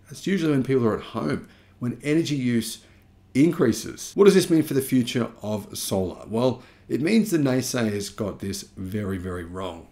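A man's voice: fairly low pitch, a little mellow. An Australian man in his thirties talks straight to camera about the news. He drives his points home by stretching and stressing key words. He sits in a small indoor home studio. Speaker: YouTube channel host.

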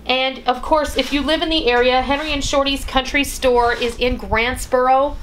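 High-voiced, folksy woman chatting over noise. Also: steady tone, normal speed, fairly bright tone, poor audio. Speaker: home cooking vlogger and host. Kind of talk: casual chatty haul narration. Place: room at home. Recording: clear background noise.

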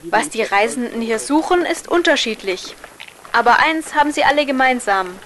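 A woman narrates a scripted newsreel report. Her voice sits fairly high, and she speaks as a reporter presenting the events. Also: expressive, normal speed, a crowd in the background.

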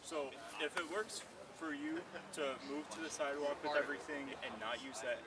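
A man, a police officer, calmly suggesting solutions during a street conversation. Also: a little high, normal speed. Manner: calm, offering solutions. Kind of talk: casual conversation. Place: outdoors on a city street. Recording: distant voice, loud street noise.

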